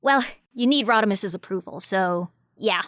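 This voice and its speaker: A woman playing an eager, excitable female robot researcher in an audio drama, talking informally. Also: medium pitch, normal speed, dry recording, studio quality, close to an everyday voice. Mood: chatty, insistent.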